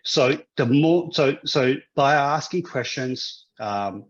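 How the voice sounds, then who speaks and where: A man's voice: mid-range voice, expressive, slow, dull audio. A man from Hong Kong who grew up in Australia, a guest in a casual interview, who talks with many restarts and fillers. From Hong Kong, raised in Australia.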